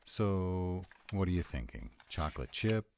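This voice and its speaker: A man's voice: low voice, very animated, a little slow, creaky, a little mellow, a little hiss or hum, full character voice. A man voicing a grumpy, rule-bound were-dragon boyfriend in a roleplay audio, speaking with fussy, exasperated emphasis. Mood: fussy, cooperative.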